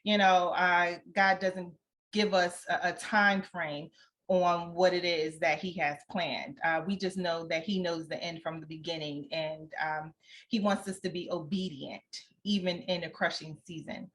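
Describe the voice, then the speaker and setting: Lower-voiced woman reflecting in an interview. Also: steady tone, a little slow, a bit bright, slight background noise. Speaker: author and interview guest. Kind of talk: conversational interview testimony.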